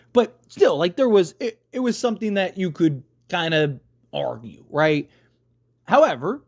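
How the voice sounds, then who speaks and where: A man's voice: on the high side, a little mellow, dry recording, studio quality. A man giving casual, unscripted movie commentary as a video host, rambling and often checking in with the listener by tagging his sentences with a question.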